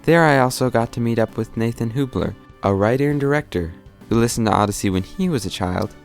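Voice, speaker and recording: A man's voice: medium pitch, lively intonation, a little fast, vocal fry, faint music behind. A man reading scripted narration as the narrator of a documentary.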